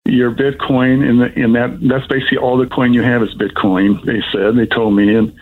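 Older male caller, thin muffled radio-call sound, halting, rambling, personal storytelling, mid-pitched voice. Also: flat delivery. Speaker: caller telling of being scammed. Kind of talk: unscripted personal account.

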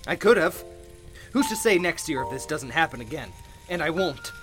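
A man playing a grieving widower and recovering alcoholic in a radio play, stammering and halting with emotion. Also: a little high, animated, over music, close to an everyday voice, rough recording. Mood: ashamed, troubled.

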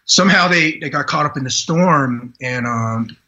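Podcast guest, male, 40s, from Vietnam. Earnest storyteller who restarts often. Sharp tone, muffled sound. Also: mid-range voice. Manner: earnest, halting delivery with frequent "you know" restarts. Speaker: podcast guest, retired Green Beret. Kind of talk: personal storytelling in a podcast interview.

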